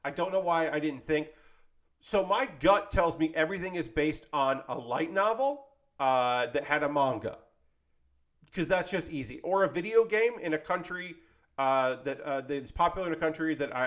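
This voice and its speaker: A man's voice: mid-range voice, a bit bright. A man hosting an anime video series in a casual, rambling, conversational style, self-deprecating and given to tangents and mild swearing. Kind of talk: casual rambling vlog commentary.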